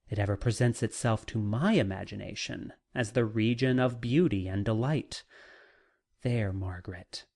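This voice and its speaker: A man narrating a classic novel as a dramatic audiobook, in a measured, deliberate manner with frequent pauses. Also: mid-range voice, a little mellow.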